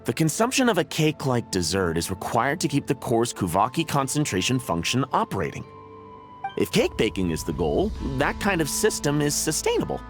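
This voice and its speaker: Nervous, stammering engineer, lively pitch. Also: male voice, brisk, faint music behind. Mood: thoughtful. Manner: hesitant, stammering, explanatory. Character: nervous, apologetic engineer serving a demanding boss. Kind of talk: video game acting.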